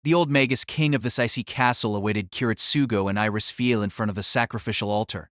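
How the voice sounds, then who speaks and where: A man's voice: on the low side, quick pace, a bit bright, no room sound, clean studio sound. A man narrating a light novel in a dramatic, scripted storytelling style.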